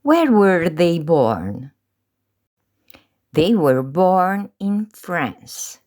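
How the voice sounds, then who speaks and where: A woman leads an English lesson at a crawling, drilled pace, each short phrase set apart. Her pitch sits a little low but swings widely from phrase to phrase, rising sharply on the questions. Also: very animated, darkish tone. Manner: slow, deliberate pronunciation drills with pauses. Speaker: English teacher. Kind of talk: slow drilled language lesson.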